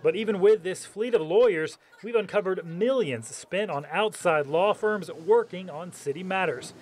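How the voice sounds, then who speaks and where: A man's voice: high voice, moderate pace. A man narrating a scripted television news report.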